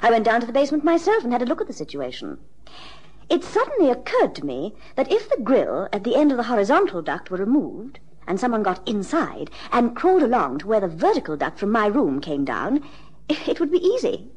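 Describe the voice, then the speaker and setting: Wealthy British lady, middle-aged, husky, animated pitch, refined, insistent, radio drama. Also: fairly quick, heavily acted, poor audio. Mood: frank, exasperated. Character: wealthy married lady of forty, demanding, frank, captivating.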